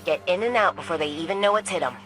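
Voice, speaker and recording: A woman's voice: fairly low pitch, quick pace, loud music behind the voice, close to an everyday voice. An actress playing a confident, wisecracking female tech expert in a video game, talking casually and quippily. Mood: focused, wry. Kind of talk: scripted game dialogue.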